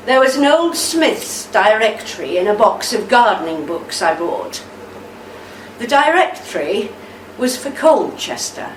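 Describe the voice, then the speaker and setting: A woman playing a devoted, steadfast older army wife in a radio play, with a measured, tender delivery. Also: mid-range voice. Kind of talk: amateur radio play acting. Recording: roomy, distant mic, faint noise.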